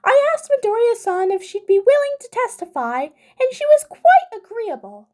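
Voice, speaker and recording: A tiny, chipper creature principal in an audiobook. The voice is extremely high and swoops up and down with great animation. It speaks in formal, precise, courteous phrases. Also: female voice, sing-song, moderate pace, a little mellow. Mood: cheerful. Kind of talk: fan fiction audiobook character voice.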